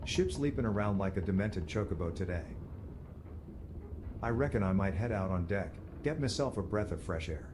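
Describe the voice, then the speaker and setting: A man's voice: low-pitched, animated, normal speed, background noise. A man voicing a chatty, friendly travelling peddler in a video game, with a British accent and a folksy, rambling, colloquial way of talking. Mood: reassuring, chatty. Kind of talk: video game character dialogue.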